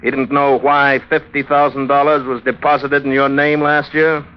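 An actor playing a shrewd police investigator in an old radio drama, probing with false patience in an American accent. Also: male voice, mid-range voice, little pitch movement, moderate pace, clean tone, close to an everyday voice. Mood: pressing, suspicious. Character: shrewd, needling male police investigator questioning a suspect. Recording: dull vintage radio recording.

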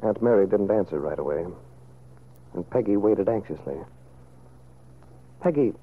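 A man narrating a vintage radio serial from a script in a measured, dramatic way. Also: lowish voice. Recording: narrow, phone-like old radio recording.

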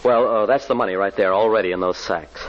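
An actor in an old radio drama playing a nervous, trusting young banker who stammers and hesitates earnestly. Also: male voice, fairly low pitch, fast talker, lightly acted. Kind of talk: 1940s radio drama acting. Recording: muffled old radio recording, faint hiss.

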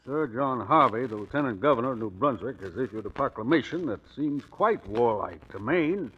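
An actor playing a blunt, soldierly general in old-time radio drama, respectful but doubtful. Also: male voice, mid-range voice, animated, moderate pace, husky, lo-fi sound. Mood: grave, blunt. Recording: old radio recording, faint hiss.

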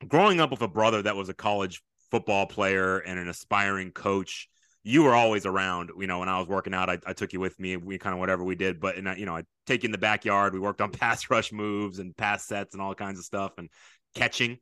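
A man's voice: low voice, a little fast, sharp tone, clear, resonant tone, dry recording. A man in his late thirties hosting a casual interview, chatting in a reminiscing way and building long, winding questions. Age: late 30s. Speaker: podcast host, football coach.

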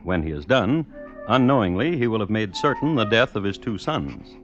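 A man hosting and narrating a scripted true crime radio show in a wry, measured, old-time manner. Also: on the low side, very animated. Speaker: host and narrator. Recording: dull old radio recording. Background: music.

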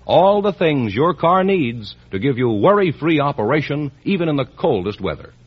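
A man's voice: big pitch swings, normal speed. Persuasive man reading an old radio ad. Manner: smooth persuasive sales pitch. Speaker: commercial announcer. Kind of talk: 1950s radio commercial. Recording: dull old radio broadcast.

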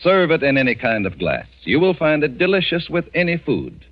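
A man reading a scripted 1940s radio commercial as announcer, polished, with deliberate emphasis. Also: fairly high pitch, sing-song. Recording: dull 1940s radio broadcast recording.

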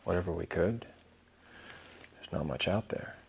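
Mike, a very gravelly gruff survivor. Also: male voice, deep voice, measured pace, darkish tone, slight background noise. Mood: grim weariness. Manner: terse, dry. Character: Mike, gruff, sardonic male scavenging team leader. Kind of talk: audio drama acting.

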